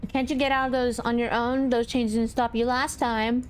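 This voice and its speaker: A woman game streamer with a slightly high voice. She chats casually through a playthrough and reads out choices, with soft game music behind her. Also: level tone, normal speed. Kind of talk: casual playthrough commentary, reading choices aloud.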